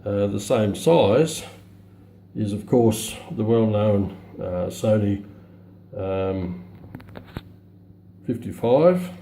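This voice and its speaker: Low-pitched man, halting delivery. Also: lively intonation, gravelly, warm tone, reverberant, slight background noise. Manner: halting, matter-of-fact. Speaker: presenter of a radio review. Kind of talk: unscripted product demonstration. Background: shortwave radio broadcast playing.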